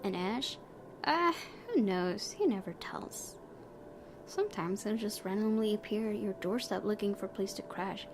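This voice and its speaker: A woman's voice: mid-range voice, animated, moderate pace. A woman reading visual novel narration aloud for a let's play, stumbling over words now and then and adding casual comments. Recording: clearly noisy home recording.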